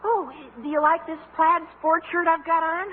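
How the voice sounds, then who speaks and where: An eager, gawky teenage boy squeaks along in a very high voice. It sounds like fifties radio comedy on a tinny, faintly noisy recording. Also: fairly even intonation, normal speed. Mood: eager, boyish. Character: earnest, high-voiced awkward teenage schoolboy. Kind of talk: 1950s radio comedy acting.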